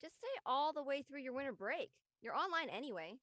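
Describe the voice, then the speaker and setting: A young actress playing an earnest, literal-minded, rule-abiding student in a drama. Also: female voice, high voice, lively intonation, a little fast, fairly bright tone, no room sound, clean studio sound. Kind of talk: acted dialogue in an audio-led play.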